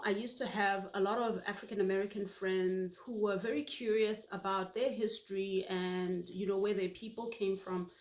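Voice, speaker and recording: Heartfelt woman from Botswana, echoey room. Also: medium pitch. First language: Setswana. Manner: warm, heartfelt storytelling. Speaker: YouTube host. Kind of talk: personal reflective monologue.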